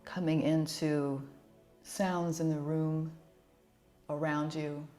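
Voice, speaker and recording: A woman leading a guided yoga relaxation as an instructor, speaking in a soothing, gentle and unhurried way. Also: sits low, flat delivery, faint music behind.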